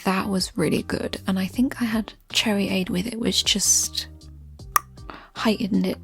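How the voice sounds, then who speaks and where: A woman speaking in a soft, breathy, often near-whispered voice, chatting gently and ramblingly in an ASMR style. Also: medium pitch, steady tone, a little slow. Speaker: ASMR creator answering listener questions. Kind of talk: soft-spoken ASMR chat. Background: music.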